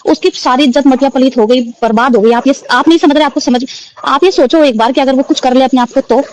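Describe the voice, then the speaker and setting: Woman, high voice, hurried delivery, earnest pleading, repeats herself, casual discussion. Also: steady tone, a little mellow, low-quality recording. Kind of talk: casual emotional discussion in a group call.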